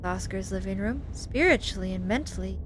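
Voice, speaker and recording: A woman reading a visual novel aloud as a gaming host, in an expressive, theatrical way. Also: medium pitch, fairly quick, noisy background. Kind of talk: playthrough reading, narrating and voicing visual novel dialogue with reactions. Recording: music behind the voice. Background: game music.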